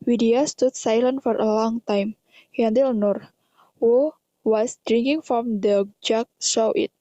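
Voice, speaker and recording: High, mellow Indonesian woman narrator, dull recording. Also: fairly even intonation, slow, no room sound. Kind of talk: scripted narration of amateur radio drama.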